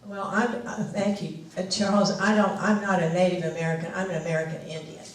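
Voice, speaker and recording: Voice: medium pitch, level tone, slight background noise. Argumentative speaker in an echoey hall. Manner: argumentative, quick to interject. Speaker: council meeting participant. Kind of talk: public meeting debate. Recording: echoey meeting hall from far off.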